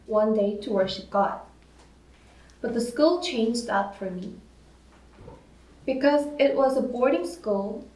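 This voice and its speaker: A woman's voice: on the high side, darkish tone. A young woman from Korea, reading her personal faith story to her church in an earnest, careful way. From South Korea. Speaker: church member sharing testimony. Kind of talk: read personal testimony. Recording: roomy, distant from the mic.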